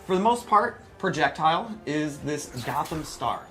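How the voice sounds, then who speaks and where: A man's voice: fairly high pitch, animated, normal speed, pushed and bright, faint music behind. A man giving chatty, informal live commentary as he demonstrates a fighting game character, with a playful self-deprecating streak. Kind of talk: live gameplay commentary, casual explaining.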